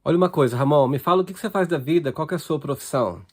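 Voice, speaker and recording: A man's voice: on the high side, very fast, darkish tone, slightly rough, soft background music. A man hosting an interview show, welcoming the guest and asking short, upbeat questions over a video call. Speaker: host.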